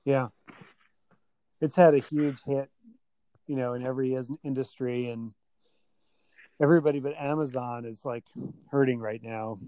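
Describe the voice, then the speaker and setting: A man's voice: medium pitch, steady tone, normal speed, pauses often, mellow, dry recording, poor audio. A man hosting a casual podcast chat with a friend, tired after a long day and rambling with many fillers.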